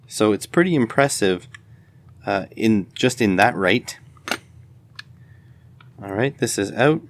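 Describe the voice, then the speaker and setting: A man's voice: on the low side, lively intonation, takes it slowly, a little hiss or hum. A man giving casual hands-on commentary as host of an electronics teardown video, tentatively thinking aloud as he goes.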